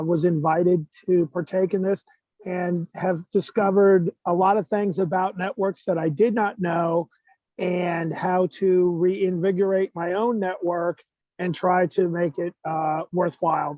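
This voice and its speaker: A man, a graduate student, introducing himself in a measured, deliberate way over a video call. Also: high voice, no room sound. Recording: dull dry call audio.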